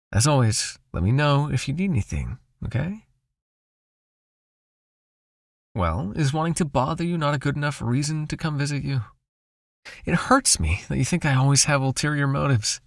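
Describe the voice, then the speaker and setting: A man playing a gravelly, seductive vampire master. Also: a little high, dry recording, clean studio sound. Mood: smooth, coaxing. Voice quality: breathy, gravelly, murmuring. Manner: slow, coaxing, intimate, softly commanding. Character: seductive, possessive male vampire master, hypnotic and condescendingly tender. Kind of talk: ASMR hypnosis roleplay.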